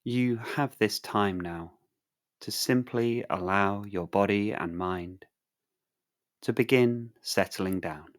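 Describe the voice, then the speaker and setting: Slow, low-pitched male meditation guide. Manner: calm, soothing, measured delivery with long pauses.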